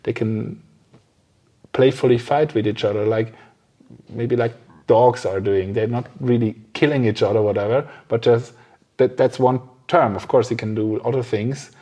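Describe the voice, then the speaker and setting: Man chatting casually, medium-pitched voice. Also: steady tone, moderate pace, warm tone. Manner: agreeable, frequent affirming responses. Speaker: podcast interviewer. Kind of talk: casual chat.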